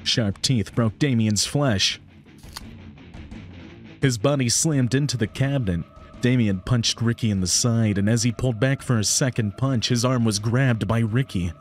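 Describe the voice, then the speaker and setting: A man's voice: medium pitch, unhurried. A man reading scripted story narration for a visual novel. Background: background music.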